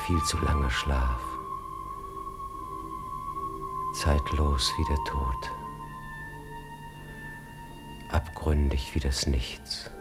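A man narrating a radio drama in a terse, intense, dramatic style, hushed at times. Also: a bass voice. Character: tense storyteller of an officer's ordeal. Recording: music behind the voice.

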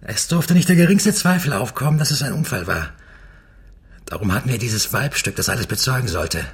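A man's voice: mid-range voice, expressive, a little fast, natural voice. An actor playing a cynical, exasperated crook confessing his scheme in a radio crime drama. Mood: explaining, tense. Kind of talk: German radio drama acting.

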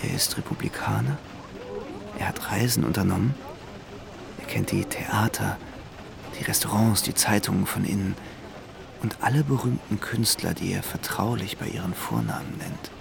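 A man's voice: on the low side, animated, normal speed, gravelly, noisy background. An actor playing a dreamy, infatuated young man who recalls his journey in literary first-person radio play narration. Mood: detached, observing.

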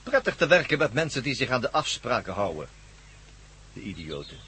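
A man's voice: medium pitch, very animated, fairly quick, pushed and bright, a little hiss or hum. An actor playing a megalomaniac villain in a radio drama, menacing, pompous and theatrical, gloating over his schemes. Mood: smug, menacing. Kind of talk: Dutch radio drama acting.

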